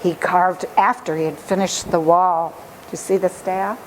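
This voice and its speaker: A woman reading a children's story aloud with expressive, dramatic and deliberate phrasing. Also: lowish voice, a little hiss or hum, rough recording.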